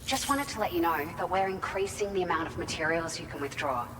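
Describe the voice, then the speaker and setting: A woman's voice: lowish voice, fast talker, distant mic, lightly acted. An actress as an upbeat, appreciative woman researcher in a game. She talks informally and encouragingly. Her voice sits away from the mic in long reverb, with music playing behind. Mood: grateful, upbeat. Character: friendly, appreciative female settlement researcher. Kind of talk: scripted game dialogue.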